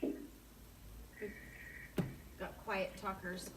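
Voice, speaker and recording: A woman chairing a community meeting, loudly relaying questions to a caller. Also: mid-range voice, level tone, very slow, reverberant, recorded from a distance, heavy background noise. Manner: loud, relays questions clearly. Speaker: meeting facilitator.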